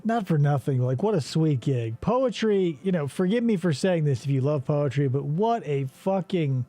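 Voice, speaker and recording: A man hosts a comedic game show, rambling off on sarcastic, profane tangents. His voice sits high and swings widely in pitch, yet the tone stays soft and mellow. The recording is dry and close, with faint music underneath. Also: lively intonation, normal speed, dry recording.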